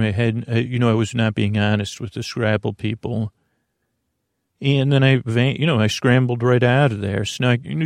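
A man hosting a bedtime podcast, talking in a slow, meandering way full of fillers, tangents and restarts, with a creaky voice. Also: mid-range voice, a little mellow, no room sound, clean studio sound. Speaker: podcast host. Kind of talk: rambling bedtime podcast monologue.

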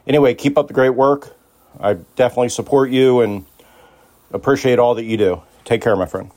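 A man's voice: medium pitch, fairly even intonation, fairly quick, darkish tone. A man leaving a casual, friendly voice message as a listener calling in to a podcast. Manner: informal, friendly, supportive.

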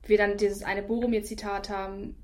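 A woman's voice: medium pitch, level tone, moderate pace. A woman co-hosting a casual review chat, voicing firm opinions with frequent "ähm" fillers.

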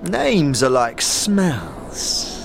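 A man's voice: medium pitch, huge pitch swings, drawn-out words, gravelly, noisy background, exaggerated voice. An actor playing a twitchy, secretive male beggar storyteller in a fantasy audio drama, repeating his words and chuckling wheezily. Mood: furtive, wary.

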